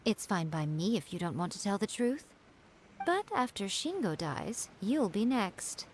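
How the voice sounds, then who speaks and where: A woman playing a sly, imperious shrine priestess in a video game, with a measured, condescending, coolly menacing delivery. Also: medium pitch, big pitch swings, slight background noise. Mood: cool menace.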